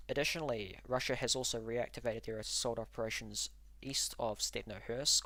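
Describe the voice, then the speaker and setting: A man hosting a live stream, walking through frontline maps and answering chat in an analytical, measured way with frequent ums and uhs. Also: on the low side, fairly bright tone, no room sound. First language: English. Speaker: livestream host and war mapper.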